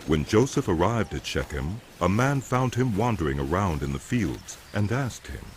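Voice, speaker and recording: A man giving dramatic, scripted Bible narration in a solemn, deliberate manner. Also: low voice, sing-song, slight background noise. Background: music.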